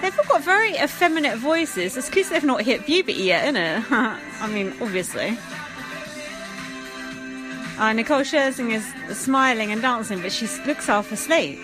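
A woman's voice: on the high side, expressive, moderate pace, poor audio. A woman hosting a solo podcast, giving blunt, sweary, sarcastic commentary over a TV show. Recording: music and TV audio playing behind.

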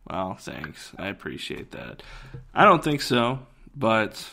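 Gravelly low-voiced man, dry and offhand. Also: big pitch swings, slow, bright, forceful tone. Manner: dry, self-deprecating, mumbles while searching online. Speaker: podcast co-host. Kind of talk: casual chat.

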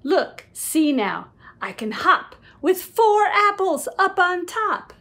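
Slow, high-pitched woman reading to children. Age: middle-aged. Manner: expressive, sing-song, slow, with whispered asides. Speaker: children's book reader. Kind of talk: animated read-aloud of a picture book for young children. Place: small room at home.

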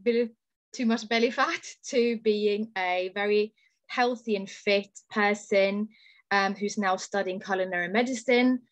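A woman's voice: mid-range voice, measured pace, no echo. A woman in her thirties from Norway, a native Norwegian speaker, giving an informal personal testimonial on a video call. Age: 30s. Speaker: product distributor giving a testimonial. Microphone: video call, computer microphone.

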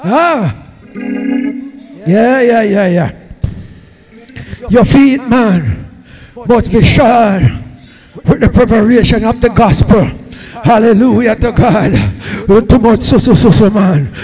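High-voiced Jamaican pastor preaching fervently. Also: male voice, takes it slowly, long pauses, soft background music, poor audio. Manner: shouting, peppered with hallelujahs, gesturing at a pulpit. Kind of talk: fiery Pentecostal sermon. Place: large church hall with red curtains. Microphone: handheld mic.

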